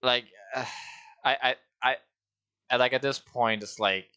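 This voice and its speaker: A man's voice: mid-range voice, fairly even intonation, a little slow, sharp tone, clear, resonant tone. A man streaming a game, rambling and joking at himself as he talks through it. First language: Danish. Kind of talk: casual game livestream commentary.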